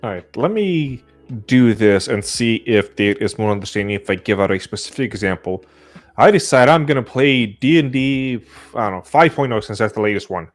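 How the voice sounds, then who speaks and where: A man's voice: fairly low pitch, expressive, moderate pace, soft background music. A man on a podcast panel casually explaining his point through an example.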